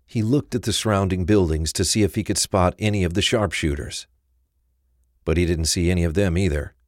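Very deep-voiced male narrator, dry sound. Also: darkish tone, dry recording. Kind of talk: scripted narration of a crime thriller.

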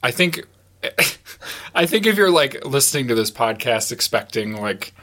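Bright-voiced, sniffly podcast host. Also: male voice, medium pitch, big pitch swings, measured pace. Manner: laughs often, rambling with fillers. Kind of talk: casual podcast chat. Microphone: headset mic.

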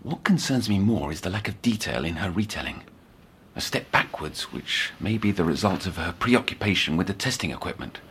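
An actor playing an obsessive, scholarly therapist, speaking formally and articulately in a brooding audio drama. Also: male voice, sits low, expressive, fairly quick, fairly bright tone, a little hiss or hum. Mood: brooding, determined. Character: obsessive, scholarly male therapist-researcher keeping session notes. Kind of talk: dramatic monologue, audio journal.

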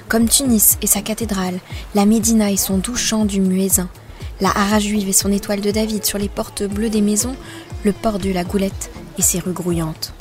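A woman giving a measured, expressive first-person reading of a literary excerpt. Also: medium pitch. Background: soft music.

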